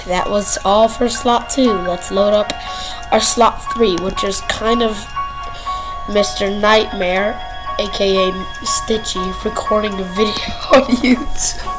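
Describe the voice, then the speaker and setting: Young gaming commentator, slow and playful, rambling, medium pitch, light music under the voice. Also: steady tone, poor audio. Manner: rambling, playful, stumbles over words. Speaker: young video creator showing game cutscenes. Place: indoor room at home. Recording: home screen recording with music behind.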